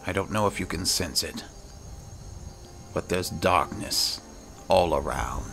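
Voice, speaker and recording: Very deep gravelly man, slow. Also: fairly bright tone, faint music behind. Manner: measured, solemn, dramatic. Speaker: gaming video host playing in character. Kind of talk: in-character game roleplay narration.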